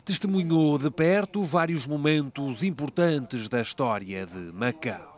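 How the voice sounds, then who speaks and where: Male news reporter, measured narration. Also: on the high side, lively intonation. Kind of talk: news narration and interview question.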